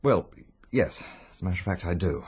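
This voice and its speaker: Guarded British husband, low and very husky, animated pitch, hesitant radio drama manner. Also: male voice, fairly quick, exaggerated voice. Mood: guarded, aggrieved. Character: uneasy, reserved husband wanting rid of his wife.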